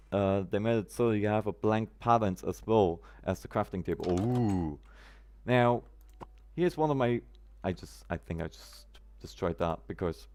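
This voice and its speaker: A man's voice: fairly low pitch, darkish tone. Chatty man streaming a game. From Germany. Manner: chatty, greets viewers by name, quirky roundabout phrasing. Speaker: live streamer. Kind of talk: casual gameplay live stream chat.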